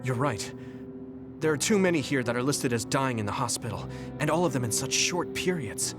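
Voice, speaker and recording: A man acts out an earnest young male soldier for a video game. His voice sits at a middle pitch and sounds clear and sincere, with caring concern in it. Music plays softly underneath. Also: expressive, normal speed, lightly acted. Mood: apologetic, troubled. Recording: background music under dialogue.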